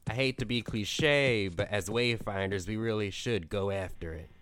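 A man acting as a small-town daytime radio host who hunts monsters in an audio drama. His voice sits fairly low, and he talks in a folksy, no-nonsense, matter-of-fact way. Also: moderate pace, a bit bright, smooth, no room sound, natural voice.

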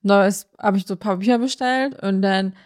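A woman's voice: mid-range voice, moderate pace, a little mellow. A woman chatting casually as a podcast co-host, telling anecdotes in a colloquial, rambling way with quoted bits of dialogue. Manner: colloquial, self-quoting storytelling.

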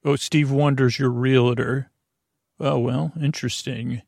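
A man with a heavily creaky, fry-laden voice delivers a rambling bedtime monologue as a podcast host. He drifts off on tangents, stops and starts, and stumbles over words. The sound is dry, with no room echo. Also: mid-range voice, level tone, a little mellow. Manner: meandering, halting, digressive, stumbling over words.

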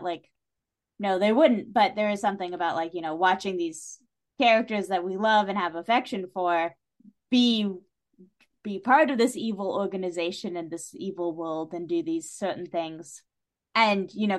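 A woman's voice: mid-range voice, moderate pace. A woman with a mid-range voice chats as a guest on a book podcast. She is opinionated and emphatic, talking through stories in a casual, conversational way. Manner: chatty and opinionated, often emphatic. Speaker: podcast guest, writer and director. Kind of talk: casual literary discussion.

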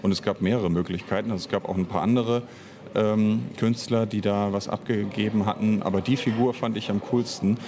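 Low-voiced man explaining haltingly. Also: steady tone, darkish tone, a little hiss or hum. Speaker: interview guest, audio drama author and director. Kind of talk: unscripted interview answers.

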